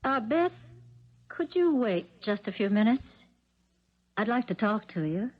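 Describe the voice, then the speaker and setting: A woman's voice: fairly high pitch, very animated. Patient stepmother, dull radio sound. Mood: pleasant. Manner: measured, conciliatory. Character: patient, reasonable stepmother seeking peace. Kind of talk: 1970s radio drama acting. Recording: dull 1970s radio recording.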